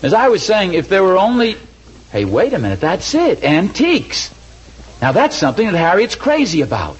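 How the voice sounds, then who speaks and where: A man's voice: high voice, huge pitch swings, moderate pace, slightly rough, heavily acted. An American man playing a befuddled, mild-mannered suburban husband in a 1940s radio comedy, with a hesitant, folksy way of talking. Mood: struck by idea. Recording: dull, noisy old radio recording.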